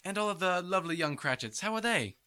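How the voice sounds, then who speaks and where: An actor playing a cheerful, warm-hearted gentleman, earnest and pleading, in a theatrical radio play. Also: sits high, expressive, fast, no echo. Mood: friendly. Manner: earnest, hesitant interjections.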